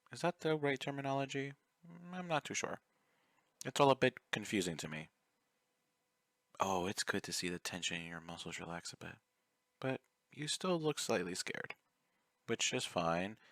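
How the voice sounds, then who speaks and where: Casting: male actor as obsessive yandere stalker for ASMR roleplay; low, close and dry, coaxing, politely menacing. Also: a bit bright, dry recording. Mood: bashful, unsure, then gentle, reassuring. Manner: intimate, coaxing, courteous while threatening. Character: obsessive, clingy, soft-spoken young male yandere fan, politely menacing.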